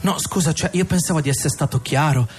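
An actor playing a frivolous, smug young client with an affected, dismissive, slangy way of talking in a satirical radio drama. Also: male voice, on the high side, fast talker, husky. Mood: patronising, smug. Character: smug, frivolous young Milanese client glued to his phone. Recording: music behind the voice.

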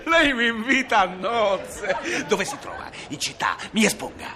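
A man's voice: high-pitched, big pitch swings, measured pace, crowd noise. A man playing a boastful private policeman who brags about the criminals he has beaten in a comic sketch. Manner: bragging, eager. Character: boastful private policeman, swaggering tough-guy talker.

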